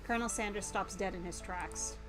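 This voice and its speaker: A woman streamer reading a game aloud and commenting on it in a playful, animated, exclamatory way. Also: medium pitch, a little fast, music in the background. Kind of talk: live game commentary and dramatic read-aloud.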